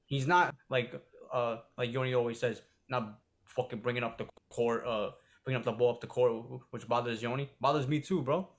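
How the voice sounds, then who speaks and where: Dude on a sports podcast, loose and sweary, says bro a lot. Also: male voice, mid-range voice, steady tone, brisk. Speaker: podcast co-host. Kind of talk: casual sports chat.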